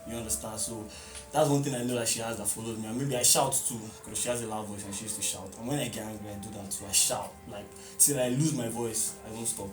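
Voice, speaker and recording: A man's voice: fairly low pitch, steady tone, fast, hardly any pauses. A young man with a mid-range voice talks candidly and laughs easily. He is heard from a distance in an echoing room, with music behind him. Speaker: interviewee. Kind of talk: reflective interview answers. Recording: roomy echo, distant mic, music behind.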